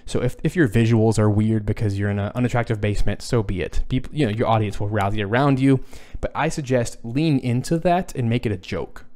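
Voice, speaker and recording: A man in his thirties with a low, deep-set voice talks through viewer questions on a boom microphone in a small home studio. He thinks aloud as he goes, stopping to restart phrases and filling gaps with ums. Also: fairly quick, few pauses, darkish tone. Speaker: music composer answering viewer questions. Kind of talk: casual livestream Q&A. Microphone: boom studio microphone, headphones on.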